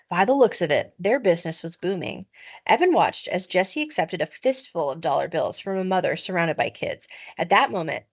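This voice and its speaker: Animated woman reading a children's story. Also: mid-range voice. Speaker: teacher reading aloud. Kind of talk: expressive children's storybook narration.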